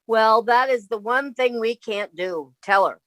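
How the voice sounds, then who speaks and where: A woman's voice: a little high, a little slow, fairly bright tone. A woman playing a sensible, exasperated young roommate in a 1950s-style radio comedy. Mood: urgent, cautioning.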